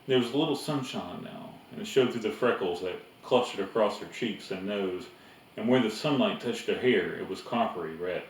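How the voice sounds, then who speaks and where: A man narrates a story, reading aloud in a fairly low voice. The room is audibly echoey and he sits a little away from the mic. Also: moderate pace. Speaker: reader. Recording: echoey room, distant mic, faint noise.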